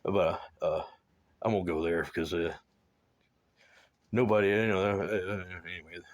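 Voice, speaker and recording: A man from South Florida talking in a rambling personal monologue, halting and full of restarts, often trailing into low mumbles. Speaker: man recounting his life.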